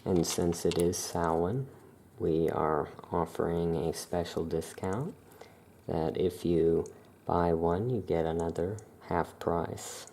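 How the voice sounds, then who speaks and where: Soft spoken asmr witch shopkeeper, super slow n hushed, dark mellow voice, bit of background noise. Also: low-pitched, steady tone, gravelly. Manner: unhurried, hushed, with long pauses. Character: calm Salem witch shopkeeper showing wares.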